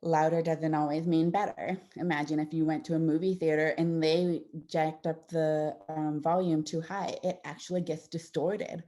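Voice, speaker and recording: A woman in her thirties gives an informal presentation as an audiologist, talking parents through her slides. Her voice is low and mellow, and she speaks conversationally, with plenty of fillers and self-corrections. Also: little pitch movement, normal speed, few pauses. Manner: conversational, warm explanations with frequent fillers and restarts.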